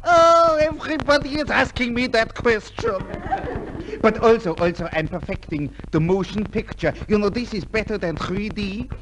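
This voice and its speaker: A man playing an eccentric, boastful professor and inventor in a 1950s radio comedy sketch, excitable and pleased with himself. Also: high-pitched, normal speed, natural voice. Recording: noisy 1950s radio show recording.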